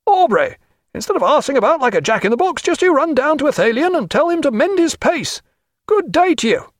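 A man's voice: very high-pitched, sing-song, fairly quick, voice pushed up. A man voicing an irate senior navy captain in an audiobook, blustering and indignant as he hails another ship. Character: irate old senior navy captain bellowing across the water. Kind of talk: audiobook character voice.

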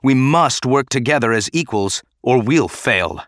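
A man voicing a middle-aged, hot-tempered senator in a dramatic audiobook, with a mellow voice and forceful, challenging delivery. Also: fairly low pitch, animated, moderate pace, dry recording, studio quality. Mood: angry. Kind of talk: dramatic audiobook character acting.

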